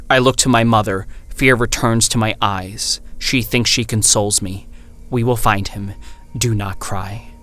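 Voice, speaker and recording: An actor playing an obsessive, exhausted young male student, musing haltingly to himself in a horror audio drama. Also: fairly low pitch, sharp tone. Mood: haunted, reciting.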